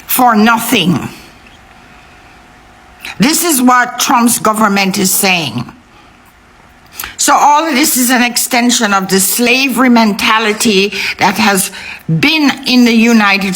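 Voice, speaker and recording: Woman, slightly high pitch, very slow delivery, emphatic, indignant, impassioned political commentary. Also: a bit bright, low-quality recording. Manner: emphatic, deliberate with repetition for emphasis.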